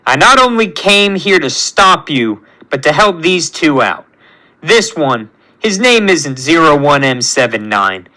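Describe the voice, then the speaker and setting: A righteous male space adventurer and team leader, acted out by a male storyteller, bright and lively in pitch. He makes earnest, defiant declarations in a high-set voice. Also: unhurried, exaggerated voice, poor audio. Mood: defiant, resolute.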